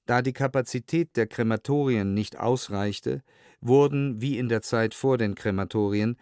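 Low-pitched man, dry studio narration. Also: darkish tone, dry recording. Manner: measured, sober, precise enunciation. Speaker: reader of historical documents. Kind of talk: scripted documentary audio narration, read aloud.

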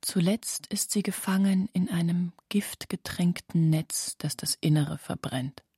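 Female co-narrator, low and breathy, near-whispered literary reading, dry close sound. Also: measured pace, mellow, no room sound.

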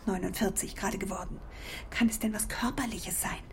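A woman's voice: mid-range voice, steady tone, fairly quick, vocal fry, a little hiss or hum, natural voice. An actress playing a worried, apologetic middle-aged German wife and mother in a naturalistic radio drama, speaking hesitantly. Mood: anxious, searching.

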